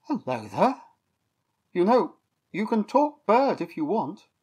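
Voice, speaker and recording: A woman voicing a gentle, courteous male elf who speaks formally. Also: medium pitch, very animated, takes it slowly, husky, voice pushed up, full character voice. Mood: polite.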